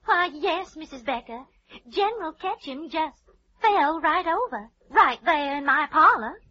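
An actress playing a chatty, fussy society matron in an old radio drama, with theatrical delivery on a muffled, noisy recording. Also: female voice, sits high, animated, a little slow. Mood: gossipy, excited. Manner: theatrical, gossipy.

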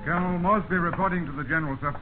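Sceptical, deferential British subordinate officer played by a man, slightly high voice, formal; vintage radio play with faint hiss. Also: very fast, lightly acted. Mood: dutiful. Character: dutiful, sceptical British junior army officer. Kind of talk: 1950s radio drama acting. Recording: tinny, noisy 1950s radio recording.

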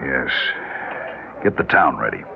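Give the part of a folksy American townsman, grimly set on duty, to a very deep, gravelly voice. His delivery swings widely in classic radio drama style. The old recording is muffled and noisy. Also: sing-song, a little slow, exaggerated voice. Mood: grave, resigned. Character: grim, folksy townsman, calmly resigned to duty.

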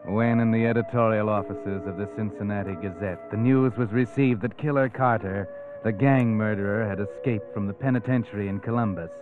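A man's voice: lowish voice, fairly even intonation, brisk, lightly acted. Vintage radio mystery host played by a man: sinister, insinuating storyteller, tinny old recording, music underneath. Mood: storytelling. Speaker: narrator. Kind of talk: 1940s radio mystery narration.

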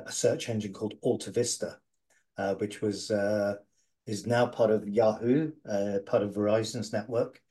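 A middle-aged man, an agency founder, answering interview questions in a measured way with many "uh"s and "um"s. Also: on the low side, mellow. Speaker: guest, agency founder and CEO.

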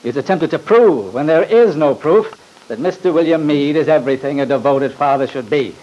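Actor as a scornful, higher-voiced courtroom attorney. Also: male voice, normal speed, dark tone, natural voice, low-quality recording. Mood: pompous, accusing. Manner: pompous, formal, sarcastic. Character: scornful, badgering male attorney, pompous and theatrical. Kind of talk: old-time radio drama acting.

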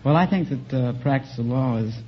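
A man's voice: mid-range voice, brisk. An earnest, polite American man in his twenties from Ohio, answering a quiz host straightforwardly. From Ohio, United States. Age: 20s. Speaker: quiz contestant, law student. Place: radio studio with audience. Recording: muffled old radio, faint noise.

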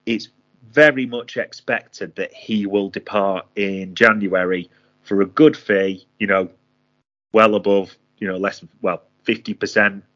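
A man's voice: lowish voice, fairly even intonation, unhurried, fairly bright tone, highs cut off. A man co-hosting a casual football podcast who talks in a guarded way and hedges his predictions. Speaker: podcast co-host, transfer pundit.

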